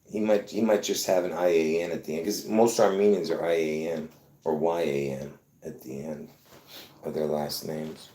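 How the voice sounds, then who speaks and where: A man from Lebanon hosting his own video, talking excitedly to viewers in a rambling, repetitive way with bursts of laughter. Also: a bass voice, normal speed, roomy sound. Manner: excitable, repeats himself, laughs between points. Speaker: video host. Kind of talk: rambling casual monologue to viewers.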